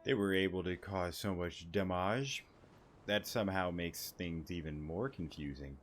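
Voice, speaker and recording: A man's voice: low voice, measured pace, slight background noise. A man doing casual, slangy, rambling commentary over a video game and reading dialogue options aloud, game music behind. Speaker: gaming streamer.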